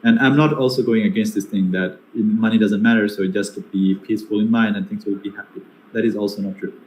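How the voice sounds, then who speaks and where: Young Bangladeshi man, halting and echoey. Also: on the low side, steady tone, darkish tone. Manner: hesitant, many fillers and restarts. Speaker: guest speaker. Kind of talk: online seminar Q&A. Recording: echoey room with faint noise.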